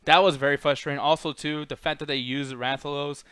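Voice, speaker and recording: Man, fairly high voice, dry sound, podcast host, casual combative banter, swearing. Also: fairly even intonation, a little fast, fairly bright tone, clean tone, no echo. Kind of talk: casual argumentative panel chat.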